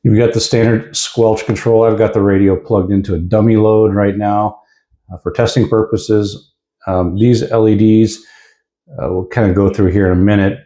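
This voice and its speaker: A man's voice: fairly low pitch, level tone, normal speed, warm tone. An American man giving a casual, informal product review, explaining features a little hesitantly. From United States. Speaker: radio reviewer. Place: indoors at a desk. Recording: roomy, echoing indoor sound.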